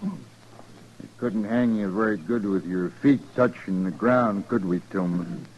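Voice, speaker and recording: An actor playing a matter-of-fact, grimly neighborly American rancher leading a lynching in a radio western, speaking in an unhurried folksy rural way. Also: male voice, lowish voice, darkish tone, lo-fi sound, a little hiss or hum. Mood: grimly calm. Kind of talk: 1950s radio western acting.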